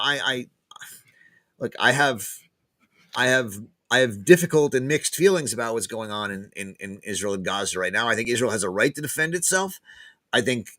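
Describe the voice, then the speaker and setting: A man, a guest professor, talking casually in an interview, pausing and repeating words as he thinks aloud. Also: medium pitch, fairly bright tone, dry recording. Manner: hesitant, many restarts and repeated words.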